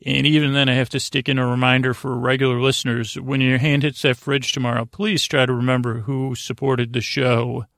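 A man with a creaky voice hosting a sleep podcast, rambling in a halting, meandering, self-deprecating way and drifting into tangents. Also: medium pitch, little pitch movement, fairly bright tone, dry recording, clean studio sound. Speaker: podcast host.

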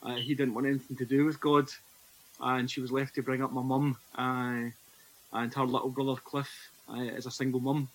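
A man's voice: medium pitch, level tone, normal speed, poor audio. A Scottish man in his forties, a podcast guest telling his personal faith story in an earnest, reflective way. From Scotland. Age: 40s. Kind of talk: personal testimony in conversation.

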